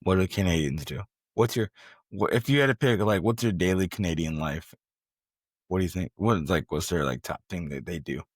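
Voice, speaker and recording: Low voiced dude hosting a sports podcast, slangy bro talk, really dry sound. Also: male voice, fairly quick, breathy edge, no room sound. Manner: slangy, bro-ish banter. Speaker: podcast host. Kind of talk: casual sports chat.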